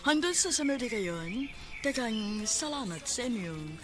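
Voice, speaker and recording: A woman's voice: a little high, sing-song, unhurried. A woman with a Cebuano accent hosting and announcing a radio drama in scripted narration. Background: music.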